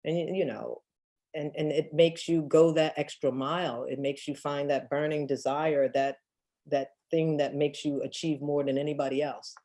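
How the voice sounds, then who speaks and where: A woman's voice: deep voice, fairly even intonation, normal speed, mellow. A woman in her fifties, a real estate agent answering questions in an emphatic storytelling way with frequent laughs. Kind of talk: casual storytelling Q&A.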